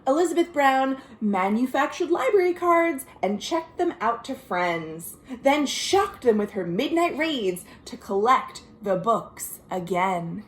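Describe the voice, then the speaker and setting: Slow, high-pitched American woman reading to children. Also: reverberant. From United States. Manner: expressive, sing-song reading with chatty asides to young listeners. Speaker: children's librarian hosting a virtual storytime. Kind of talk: read-aloud picture book storytime for children. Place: at home.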